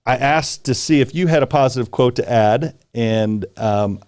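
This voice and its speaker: A man's voice: mid-range voice, normal speed, a little mellow. A middle-aged man hosting a podcast, chatting in a playful, rambling way with restarts. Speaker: podcast host. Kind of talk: casual joking podcast chat.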